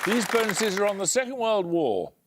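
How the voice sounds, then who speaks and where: A male quiz show host in a television studio, measured and precise. His voice sits fairly high and moves in lively swoops as he sets out introductions and questions. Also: over a noisy crowd, heavy background noise.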